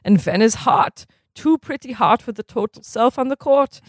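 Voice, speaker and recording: A man's voice: very high voice, expressive, normal speed, dry recording, pitched above the performer's own voice. A man voicing a stern older male tennis coach who lectures in stilted, broken English with a put-on foreign accent. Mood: lecturing. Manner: pacing rhetorical lecture, ends with "yes?". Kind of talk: audiobook character reading.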